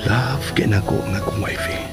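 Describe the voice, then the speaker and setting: Man acting tender husband, Filipino accent, deep voice, intimate, radio drama, loud music underneath. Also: moderate pace, lightly acted. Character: devoted, tender husband speaking to his wife.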